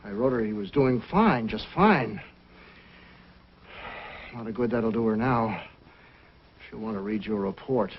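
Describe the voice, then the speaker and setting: An emotional, husky frontiersman. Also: on the low side, a little slow, pauses often. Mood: anguished, bitter. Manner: emphatic, emotional. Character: anguished, guilt-ridden frontier man. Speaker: radio actor. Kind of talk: old-time radio drama acting. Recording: dull, husky old radio recording.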